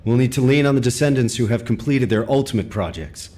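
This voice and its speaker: A man's voice: mid-range voice, brisk, dark tone, natural voice. A man voicing a composed, decisive commander. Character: steady male commander, authoritative and caring. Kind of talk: game cutscene dialogue.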